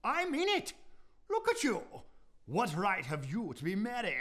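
A man's voice: high voice, huge pitch swings, measured pace, a bit bright, heavily acted. A man playing a bitter, scornful old miser in a theatrical radio play, scoffing and mocking with explosive outbursts. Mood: angry, mocking.

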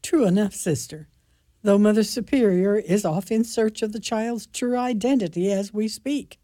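A woman's voice: mid-range voice, moderate pace, warm tone, dry recording, natural voice. A woman playing a tart, sarcastic convent sister in a community radio play. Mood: dry. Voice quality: dry and sarcastic. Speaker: nun. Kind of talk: community radio drama acting.

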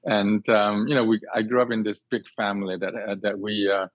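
A man's voice: lowish voice, little pitch movement, normal speed, dry recording. A man from Singapore answering interview questions conversationally, earnest and expansive, often repeating and reworking his phrases as he thinks. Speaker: guest, cook and cooking teacher. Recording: dry, slightly dull band-limited sound.

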